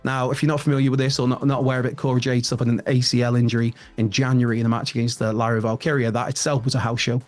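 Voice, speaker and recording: A man's voice: mid-range voice, little pitch movement, very fast, hardly any pauses. A man, presenting a casual wrestling news rundown in a chatty, rambling way. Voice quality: raspy. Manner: chatty, rambling, with frequent restarts and laughs.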